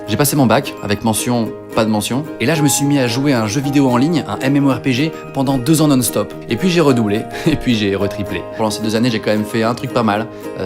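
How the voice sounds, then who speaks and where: Fast-talking, self-mocking young man. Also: mid-range voice. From northern France. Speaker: video creator. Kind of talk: comic autobiographical voice-over. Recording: voice-over with music behind.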